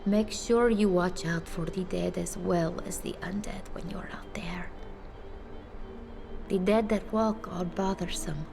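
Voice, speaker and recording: A haunted village woman speaks very slowly with a gravelly fry, often sinking toward a breathy whisper. She is hesitant and somber, a fragile game character who senses the dead, with faint music behind her. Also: fairly low pitch, fairly even intonation. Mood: haunted grief. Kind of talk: video game character dialogue.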